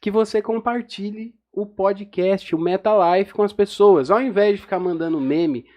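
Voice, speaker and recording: A Brazilian man co-hosts a podcast with informal, joking banter. His voice sits a bit higher than most men's, and his talk is loose and colloquial. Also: moderate pace, dark tone. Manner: jokey, informal. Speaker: podcast co-host. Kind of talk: casual joking chat.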